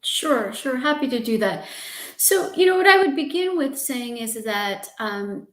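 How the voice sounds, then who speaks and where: A woman's voice: on the high side, expressive, unhurried, echoey room. A woman professor introducing herself on a panel, polite and speaking with frequent "um"s. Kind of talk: panel discussion.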